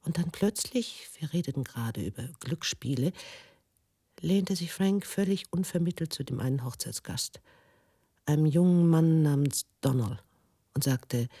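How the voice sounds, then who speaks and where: An actress in a German radio drama monologue, playing a troubled, brooding woman recalling the past in a measured, intimate way. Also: deep voice, mellow, breathy edge, dry recording, studio quality.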